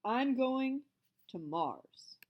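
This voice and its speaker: A woman voicing an excitable male schoolteacher who stammers and squeaks when frightened. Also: drawn-out words, muffled recording, exaggerated voice. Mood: cheerful.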